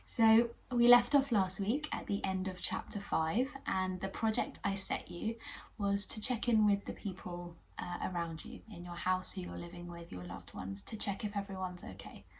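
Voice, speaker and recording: A young English woman hosting a children's puppet show, telling a story in a gentle, encouraging way. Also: medium pitch, steady tone, normal speed, slight background noise. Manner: gentle, encouraging, sometimes whispery narration.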